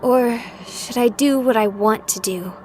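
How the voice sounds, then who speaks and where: A woman's voice: a little high, measured pace, a little mellow, a little hiss or hum, close to an everyday voice. An actress voicing a young woman streamer, skeptical and sarcastic but rattled by a haunting. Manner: sarcastic, flustered. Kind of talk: visual novel voice acting.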